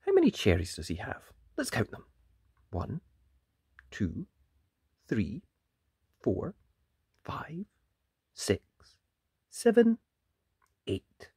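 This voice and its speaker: A woman's voice: extremely low pitch, huge pitch swings, drawn-out words, long pauses, warm tone, husky, dry recording, studio quality. A woman hosting a children's read-aloud, questioning young listeners and reacting expressively to the pictures. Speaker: children's story reader, host.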